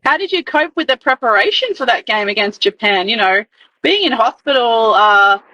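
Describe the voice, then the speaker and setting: Bright-voiced woman co-host. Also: on the high side, expressive, normal speed, no echo, highs cut off. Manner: talkative, elaborate questions. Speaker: podcast co-host. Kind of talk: interview questions.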